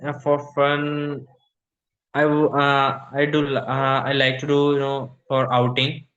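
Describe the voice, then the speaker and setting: A man's voice: mid-range voice, completely flat delivery, unhurried, fairly bright tone, echoey room, highs cut off. A young adult man, not a native English speaker, chatting casually as a learner and searching for words. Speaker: English learner in online lesson. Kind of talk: casual chat, practising English.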